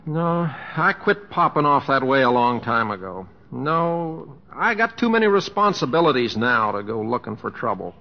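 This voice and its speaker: An actor playing an easygoing middle-aged cowboy humorist with an American accent, speaking in plain, self-effacing homespun phrases in old-time radio style. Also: male voice, mid-range voice, very animated, normal speed, hardly any pauses. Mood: reluctant. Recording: muffled old radio recording, reverberant stage.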